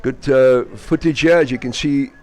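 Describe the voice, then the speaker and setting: A South African man with Afrikaans as his first language calls a match live. His voice sits at an average male pitch, and he openly pulls for his side as he follows the play. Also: steady tone, moderate pace, a little mellow. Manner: partisan, cheering on his team. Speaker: sports commentator.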